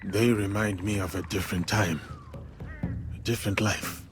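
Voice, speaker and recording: Actor as wary, low-voiced samurai. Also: male voice, steady tone, slight background noise, close to an everyday voice. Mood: guarded. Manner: measured, serious, sceptical. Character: stern, wary, protective samurai warrior. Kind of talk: dramatic game voice acting. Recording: loud music behind.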